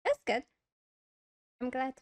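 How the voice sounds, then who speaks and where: A young woman from Morocco, a native Arabic speaker with an accent, chatting as a podcast guest in a hesitant, soft manner full of fillers and small laughs. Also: slow. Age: young adult. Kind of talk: casual interview chat. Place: small indoor studio, on a couch. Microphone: lavalier mic.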